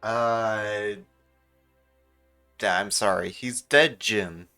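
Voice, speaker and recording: Bright-voiced wisecracking male streamer. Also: lowish voice, extremely slow, faint music behind. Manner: wisecracking, mock-indignant. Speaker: streamer playing a video game. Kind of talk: casual joking game commentary.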